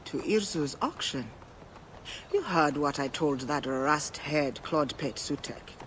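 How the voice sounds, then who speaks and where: An actor playing a brash, roguish dice gambler in a video game, boisterous and sardonic. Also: male voice, on the high side, animated, takes it slowly, a bit bright, slight background noise. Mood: wry, resigned. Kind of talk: video game character acting.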